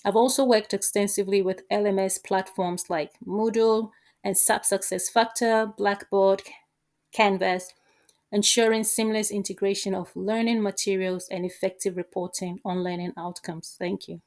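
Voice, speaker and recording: A woman answering as a job candidate, with formal, measured delivery of rehearsed interview answers. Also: mid-range voice, fairly even intonation, darkish tone.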